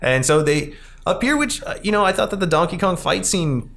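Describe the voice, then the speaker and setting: A man hosting a casual podcast discussion about a film, talking in an animated, rambling way. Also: high-pitched, fairly quick, gravelly. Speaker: podcast host.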